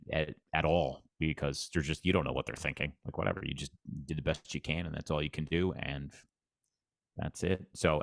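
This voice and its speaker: Deep-voiced male PA announcer, dry sound. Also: no echo. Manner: earnest, plainspoken, repeats himself. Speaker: arena PA announcer, guest. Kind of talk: casual interview chat.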